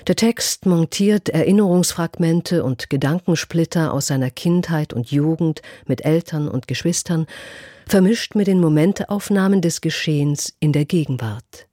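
A woman's voice: deep voice, normal speed, mellow, dry recording. A woman presenting radio plays as an announcer, reading scripted credits and introductions in a studio. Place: dry broadcast studio.

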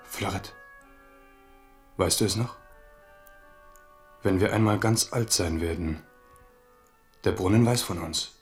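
A man's voice: low-pitched, slow, pauses often, faint music behind. An actor playing an amorous young French king in a German radio play, playful and teasing as he woos. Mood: wistful. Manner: wooing, teasing.

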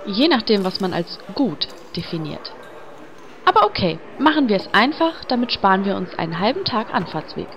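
Woman as lively, sardonic adventuring heroine. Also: mid-range voice, moderate pace, soft background music. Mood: sarcastic. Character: dry, sarcastic, practical heroine. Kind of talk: fantasy parody radio play. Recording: dull sound, clear background noise.

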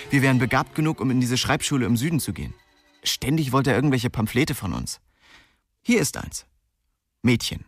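A man's voice: mid-range voice, no echo, studio quality. An actor playing a cocky, restless young man who narrates his story in a slangy, streetwise radio drama style. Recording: music behind the voice.

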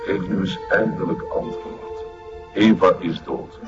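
An actor playing a menacing, domineering master in a crime drama, speaking curt, cold commands with a Flemish accent. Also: male voice, sits low, a little slow. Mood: cold, impatient. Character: menacing, domineering male cult master and drug supplier. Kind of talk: television crime drama acting. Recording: muffled sound under loud music.

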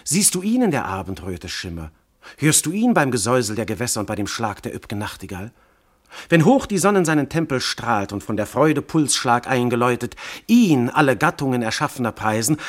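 An actor playing a commanding, seductive god posing as a noble husband, declaiming classical radio verse in a theatrical, grandiose manner. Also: male voice, mid-range voice, big pitch swings, a little fast. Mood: grand, seductive. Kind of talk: classical German stage verse in a radio play.

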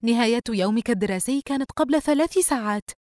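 A woman acting an exasperated, high-pitched young woman. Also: expressive, quick pace, darkish tone, dry recording, studio quality, natural voice. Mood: angry. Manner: indignant, incredulous, pleading. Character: young working woman, exasperated, hurt older sister. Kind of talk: dramatised audiobook dialogue.

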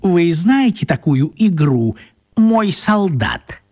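An actor playing a fussy, apologetic, absent-minded wizard in a children's radio play, hesitant, rambling and fussily polite. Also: male voice, fairly high pitch, big pitch swings, slow, a little mellow, faint music behind, full character voice. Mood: explaining earnestly.

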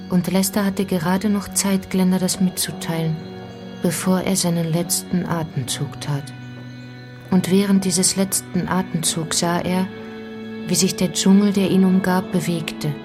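An actress as the grave narrator of a radio play mystery, telling it in a measured, suspenseful way. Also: female voice, fairly low pitch, natural voice. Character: grave female storyteller of a mystery adventure. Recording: music under the voice.

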